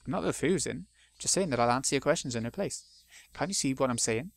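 A man's voice: mid-range voice, expressive, fast, creaky, no echo. Brief: a man reading a belligerent, threatening uncle with a menacing edge. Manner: sneering, turns falsely sweet. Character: bullying abusive uncle, gruff and menacing.

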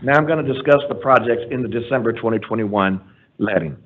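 Man reading a formal board report, tinny. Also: medium pitch. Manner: steady, measured, reading from slides. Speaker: transportation department director presenting a report. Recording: tinny phone-like audio from a meeting stream.

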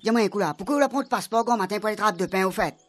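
A woman voicing Mamadou, an excitable, fussy man with a Réunion Creole accent in a radio comedy drama. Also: rapid-fire, music in the background, natural voice. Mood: teasing. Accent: Réunion Creole French. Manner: shrill, emphatic protesting.

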